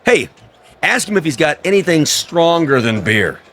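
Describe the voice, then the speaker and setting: An actor playing a jaded, sardonic American baseball announcer with showy patter in a radio drama. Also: male voice, fairly high pitch, very animated, moderate pace, a bit bright. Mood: sour, sardonic. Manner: showy on air, sardonic grumbling off it. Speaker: sports announcer. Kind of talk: American radio drama acting, broadcast commentary.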